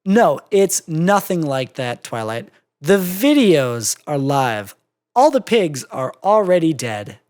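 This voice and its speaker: Brief: narrator playing unflappable female cartoon pony, middle pitch, unhurried, reasonable and explanatory. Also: very animated, a little mellow. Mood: apologetic, calm.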